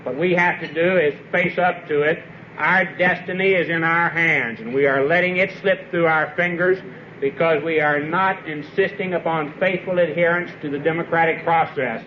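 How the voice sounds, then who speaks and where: A man's voice: a little high, steady tone, normal speed. A man speaking in an impassioned, exhortative political style, earnest and rhetorical. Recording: dull, noisy archival radio recording.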